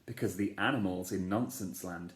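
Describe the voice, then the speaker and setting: A man, a teacher, reading a children's storybook aloud in a playful, expressive way, asking the listeners questions. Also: sits low, moderate pace. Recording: echoey room.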